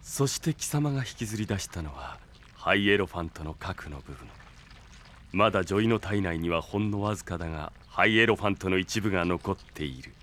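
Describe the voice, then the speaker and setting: An actor playing a smug, sinister young henchman in an audio drama, taunting with deliberate theatrical delivery. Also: low voice, animated, a bit bright, slight background noise. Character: smug, sinister young male villain, coldly loyal henchman.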